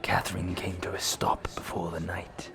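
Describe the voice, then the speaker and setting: A man narrating a dark fantasy audio drama as a grave storyteller, in a breathy, gravelly near-whisper. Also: low voice, little pitch movement. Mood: grave, mysterious. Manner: slow, grave, level.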